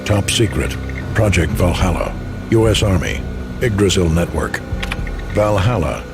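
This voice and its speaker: A man's voice: sits low, expressive, measured pace, music in the background. A man playing an impersonal reader of secret military files in a game, formal and detached. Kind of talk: formal document read-out in a game.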